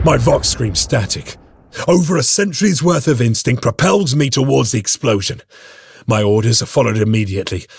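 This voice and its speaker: A man's voice: fairly low pitch, gravelly, breathy edge, dry recording, studio quality, exaggerated voice. A man playing a stoic, weary veteran space marine sergeant, solemn and formal in dramatic game narration. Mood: grim narration. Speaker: character narrator. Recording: dramatic music behind the voice.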